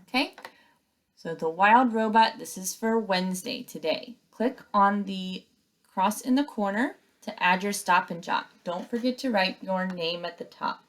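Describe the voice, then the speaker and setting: A woman teacher reading aloud and giving step-by-step instructions to young pupils in an expressive, emphatic way. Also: medium pitch, a little slow.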